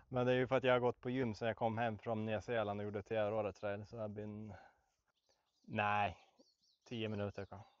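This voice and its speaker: A man's voice: lowish voice, long pauses, no echo, muffled recording. A man, casually narrating a cycling trip outdoors and pointing out sights along the road as he rides. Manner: relaxed, switches between Swedish and English, points out sights along the route. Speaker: vlogger and tour guide. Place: outdoors on a bicycle along country roads.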